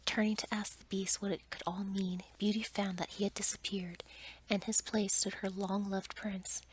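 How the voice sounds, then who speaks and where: A woman reading a bedtime story in a soft, breathy ASMR whisper, gentle and hushed. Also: medium pitch, little pitch movement, normal speed, slight background noise, rough recording.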